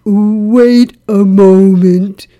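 Doleful old donkey, very dark tone, extremely slow, deadpan, sardonic, weary, children's storybook. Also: female voice, medium pitch. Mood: weary, ponderous. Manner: ponderous, mournful, deadpan. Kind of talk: children's storybook character reading.

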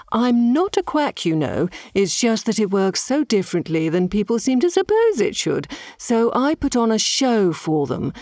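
Cast: woman voicing a fawning, flighty medium, high cooing sing-song, dry close sound. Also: a little mellow, no echo. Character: gushing eccentric female medium, fawning and flighty.

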